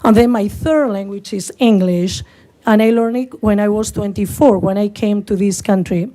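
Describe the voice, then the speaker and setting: A woman in her sixties from northern Spain, with a Spanish accent and Spanish as her first language, giving a halting public comment at a podium microphone. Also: mid-range voice, dark tone. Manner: halting, searching for words, many fillers.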